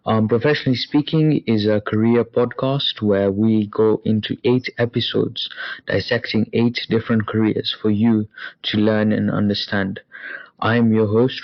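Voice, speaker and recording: A man's voice: lowish voice, no echo. A young man hosting a career podcast interview, talking with hesitant fillers. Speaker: podcast host. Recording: dull lo-fi sound.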